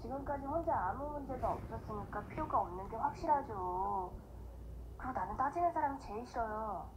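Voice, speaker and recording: A woman's voice: a little high, expressive, very fast, close to an everyday voice. An actress playing a curt, proud, dismissive young woman in a screen drama, with clipped, cold delivery. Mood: cold, irritated. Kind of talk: Korean screen drama acting. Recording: dull, noisy drama playback.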